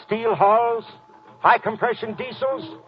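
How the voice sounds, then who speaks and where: An American actor playing a stubborn, suspicious middle-aged ex-Marine with blunt, folksy slang in a 1940s radio drama. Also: male voice, very high voice, slow, soft background music, heavily acted. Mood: suspicious, gruff. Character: gruff, stubborn, suspicious retired Marine family man. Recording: dull, noisy 1940s radio recording.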